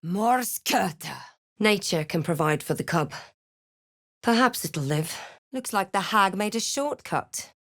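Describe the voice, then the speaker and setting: A woman playing a wry, wary halfling adventurer in a fantasy video game, with a measured, dramatic delivery. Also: on the low side, no room sound, studio quality. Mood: incanting. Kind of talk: scripted video game voice lines and narration.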